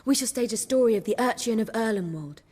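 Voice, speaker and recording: An actress playing an excitable, self-assured playwright in a video game, with a theatrical and bossy manner. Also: female voice, fairly high pitch, quick pace, husky, long reverb tail. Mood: excited, theatrical.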